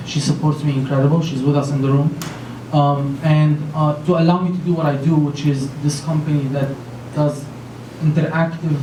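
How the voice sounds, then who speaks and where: A man's voice: a little high, mellow. Earnest rambling man from Lebanon. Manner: earnest, rambling with many fillers and restarts. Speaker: panelist, company founder. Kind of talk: panel talk. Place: large conference room with an audience. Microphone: handheld mic. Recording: echoey room from far off, faint noise.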